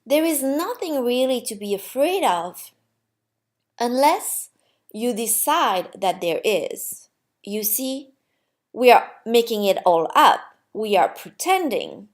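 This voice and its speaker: A woman playing a gentle, wise, amused God in a storybook, explaining patiently and reassuringly. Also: a little high, expressive, slow pace. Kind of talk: storybook character voice.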